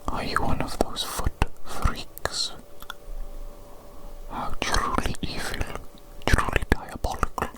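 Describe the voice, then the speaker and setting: A woman's voice: slow pace, natural voice, rough recording. A woman playing a playfully evil doctor in an ASMR medical roleplay, whispering soothingly and repeating her words. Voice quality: breathy unvoiced whisper. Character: playfully evil doctor gently examining a big creature patient. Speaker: ASMR performer.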